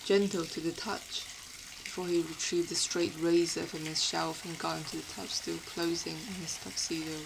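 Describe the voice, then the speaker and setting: A woman who is not a native English speaker, with a British-influenced accent, reads fiction aloud in an expressive, literary storytelling manner. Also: fairly low pitch, moderate pace, vocal fry, background noise. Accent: British-influenced non-native English. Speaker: podfic reader. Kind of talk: dramatic scripted fiction narration.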